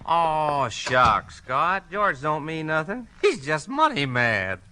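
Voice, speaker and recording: An actor playing an easygoing, amiable small-town deputy with a homespun, folksy manner, in 1940s radio crime drama style. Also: male voice, on the high side, very animated, pushed and bright, a little hiss or hum, exaggerated voice. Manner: folksy drawn-out exclamations, homespun.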